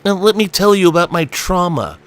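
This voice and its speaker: A man's voice: sits high, expressive, fairly quick, a crowd in the background. A man hosting a casual talk show, giving opinionated fan chat in an emphatic, sardonic way and acting out quotes. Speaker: talk show host reading viewer messages.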